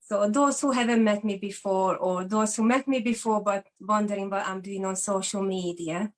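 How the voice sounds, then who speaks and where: A woman's voice: mid-range voice, fairly even intonation, moderate pace. Hungarian accent woman, 40s, telling her story as a guest, earnest. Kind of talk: personal testimony in an interview.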